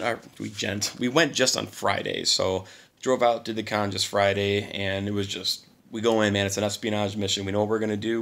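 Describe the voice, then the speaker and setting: Hoarse man for a laid-back collector vlog, low and gravelly, keen but quiet. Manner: enthusiastic, talking a little quiet. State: hoarse from singing and little sleep. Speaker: channel host.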